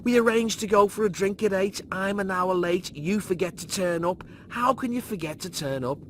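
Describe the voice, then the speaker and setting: A man's voice: high-pitched, normal speed, lightly acted. A man voicing an exasperated, sarcastic young slob in a sci-fi comedy audiobook. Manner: griping, slangy, combative. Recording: noisy background.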